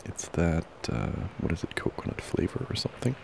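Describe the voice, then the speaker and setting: A man doing an intimate boyfriend ASMR roleplay close to the mic, murmuring softly, drowsily and affectionately. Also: sing-song, a little fast, background noise. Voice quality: low, gravelly, creaky. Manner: soft, drowsy, affectionate murmuring, often breathy half-whisper. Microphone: close ASMR microphone.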